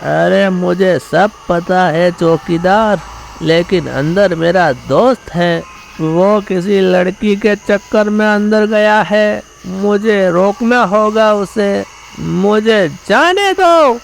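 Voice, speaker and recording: A man playing an anxious, pleading drunkard who frets over his friend in an animated horror story. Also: high-pitched, slow, vocal fry, a little hiss or hum, full character voice, rough recording.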